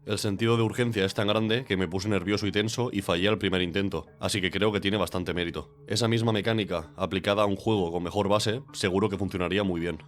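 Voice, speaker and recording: Congested low-voiced man with a cold. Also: steady tone, fast, hardly any pauses. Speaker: game reviewer, channel host. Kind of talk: scripted voice-over review.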